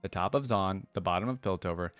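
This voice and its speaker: A man with a fairly low voice hosts a reaction video in a very dry recording. He moves between reading story text aloud and casual, conversational commentary. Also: quick pace, a bit bright, no echo.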